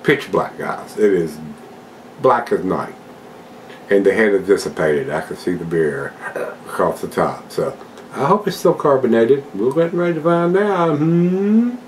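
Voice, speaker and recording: A man's voice: fairly low pitch, expressive, a little slow, slight background noise. An older man at home casually reviewing a beer, chatting to his viewers in a folksy way. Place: home room with a desk and plants, indoors.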